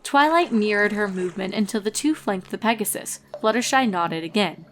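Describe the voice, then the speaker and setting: A woman's voice: mid-range voice, lively intonation, moderate pace, a little hiss or hum. A woman reading fan fiction aloud as a scripted story narrator.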